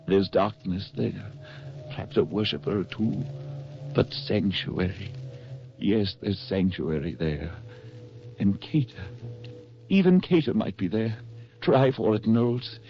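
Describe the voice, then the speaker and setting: A hunted, exhausted fugitive in a vintage radio play. His low voice is intense and brooding. The recording is muffled, with faint music behind. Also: vocal fry. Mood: urgent hope. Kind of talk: 1940s radio acting.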